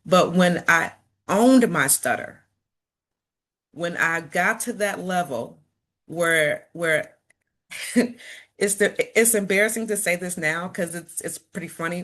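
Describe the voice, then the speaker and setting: A woman's voice: lowish voice, fairly bright tone. A middle-aged woman from Chicago, a motivational speaker who stutters and repeats words, talking earnestly and encouragingly to an audience. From Chicago, United States. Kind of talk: personal talk to an audience.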